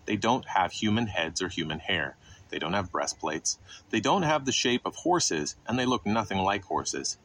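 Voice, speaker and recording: A man's voice: lowish voice, fairly bright tone, a little hiss or hum. A man narrating scripted Bible exposition in a didactic way, posing questions and then answering them. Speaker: Bible teacher narrating a podcast.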